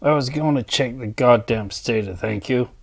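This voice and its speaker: A grumpy older working man from a mine drama, acted by a man. His voice sits at a middling pitch, and he talks in curt, resentful lines like someone who hates being told anything. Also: normal speed, creaky. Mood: irritated. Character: grumpy older male mine technician, set in his ways. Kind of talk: dramatized dialogue.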